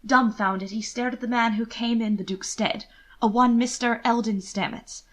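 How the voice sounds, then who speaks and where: A woman reading scripted period fiction aloud as an audiobook narrator, in an expressive, theatrical storytelling manner. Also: on the high side, moderate pace.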